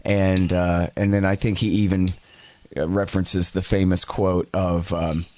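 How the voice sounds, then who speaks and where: Low-voiced man hosting a talk show. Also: flat delivery, darkish tone. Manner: conversational, loose, with fillers and quick asides. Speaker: podcast host. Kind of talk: casual interview talk.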